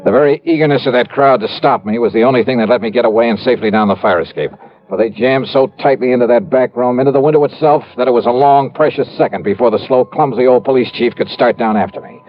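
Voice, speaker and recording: A man playing a quick-witted, cool-headed insurance investigator, narrating and acting in 1950s radio crime style. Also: medium pitch, natural voice. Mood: tense, wry narration. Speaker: lead actor and narrator of a radio detective series. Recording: muffled vintage radio recording with music.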